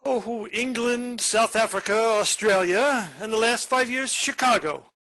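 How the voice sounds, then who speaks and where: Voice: mid-range voice, unhurried, fairly bright tone, lightly acted. An actor playing a menacing, brooding criminal nephew with a theatrical, mock-sweet manner in a stage comedy for radio.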